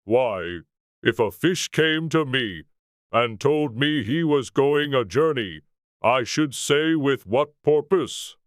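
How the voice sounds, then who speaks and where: A man's voice: medium pitch, expressive, slow pace, no room sound, clean studio sound. A man voicing a mournful, thoughtful and easily offended turtle creature in a storybook reading. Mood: huffy. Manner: ponderous, thoughtful, huffy.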